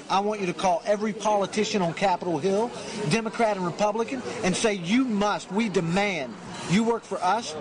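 A man hosting a talk show from a cafe, with a loud voice, fervently urging his listeners. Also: sits high. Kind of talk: opinionated political commentary. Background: cafe crowd chatter.

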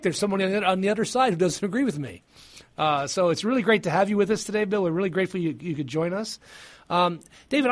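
High-pitched, halting talk radio host. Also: male voice. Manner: halting, many fillers and restarts, easygoing.

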